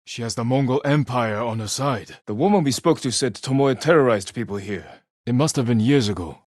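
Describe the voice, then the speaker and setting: An actor playing a stern, brooding samurai lord in a video game, speaking in measured, grave, clipped phrases. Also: male voice, medium pitch, no room sound, studio quality, lightly acted. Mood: wary, insistent. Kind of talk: dramatic video game voice acting.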